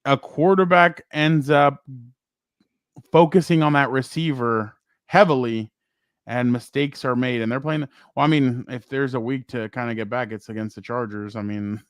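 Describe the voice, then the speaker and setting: A man co-hosting a casual sports talk show, loud and rambling, often laughing and trailing off. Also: medium pitch, fairly even intonation, normal speed, smooth, no echo, clean studio sound. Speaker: co-host.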